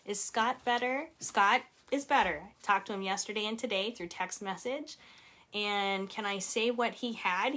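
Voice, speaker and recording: A woman in her forties, an author hosting a casual live chat from home, reading out viewer comments and laughing often. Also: mid-range voice. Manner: chatty and warm, reads viewer comments aloud, laughs often.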